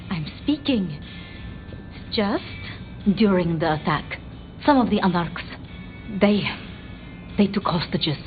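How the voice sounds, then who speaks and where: A woman playing a timid, bookish vampire researcher in a video game, hesitant and apologetic, stammering over halting phrases. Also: mid-range voice, narrow, tinny audio. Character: timid, flustered, bookish female vampire researcher, devoted to her retainers. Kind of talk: video game dramatic dialogue.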